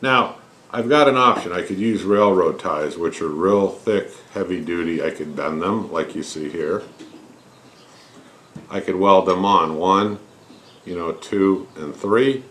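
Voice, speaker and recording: A man's voice: low-pitched, unhurried, fairly bright tone, a little hiss or hum. A man in his fifties explaining things step by step in a casual, folksy way, as the host of a hands-on tutorial. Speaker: host of a home welding tutorial channel. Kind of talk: casual explanatory shop talk. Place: cluttered home garage workshop.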